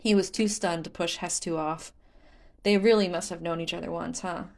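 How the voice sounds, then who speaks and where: A woman's voice: lowish voice, steady tone, moderate pace, creaky. A woman reading scripted story narration as the narrator of a fan fiction audiobook.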